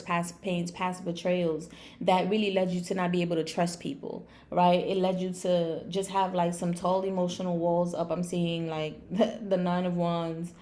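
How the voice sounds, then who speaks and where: A raspy-voiced woman with a slight cold, giving a casual, conversational tarot and astrology reading to viewers, with playful asides. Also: on the low side, darkish tone. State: getting over sneezing and coughing. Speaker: online tarot and astrology reader.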